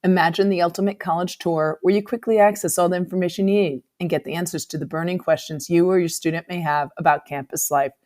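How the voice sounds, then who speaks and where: A woman with a slightly low voice delivers a polished, scripted introduction as the show's host. Her delivery is smooth and promotional. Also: darkish tone. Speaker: founder and host.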